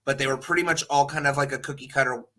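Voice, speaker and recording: Male panelist, very bright, forward voice, mid pitch, rambling opinionated casual talk with easy swearing. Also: steady tone, quick pace. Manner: rambling, emphatic, casually profane.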